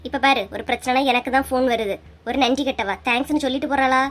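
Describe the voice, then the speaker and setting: A woman voicing an excitable, bickering village woman in a comic cartoon, exclaiming and complaining in Kanyakumari Tamil slang. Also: high voice, fast talker. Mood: aggrieved.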